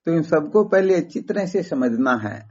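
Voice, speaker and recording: A man with a fairly high voice teaches spiritual philosophy over the phone. He explains methodically and poses rhetorical questions to his listener. The recorded call sounds dull and lo-fi. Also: animated, normal speed, darkish tone.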